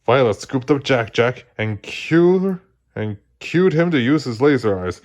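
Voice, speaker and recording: A man narrating a children's story aloud in an expressive read-along style. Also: medium pitch, a little slow. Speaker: storybook reader.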